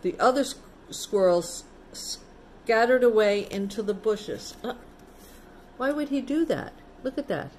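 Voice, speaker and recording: A woman reads a children's storybook at a slow, unhurried pace, like a teacher with a young class. She breaks off for chatty asides, simple explanations and questions to the listeners. There is faint noise behind her voice. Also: fairly high pitch. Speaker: teacher reading a picture book to children.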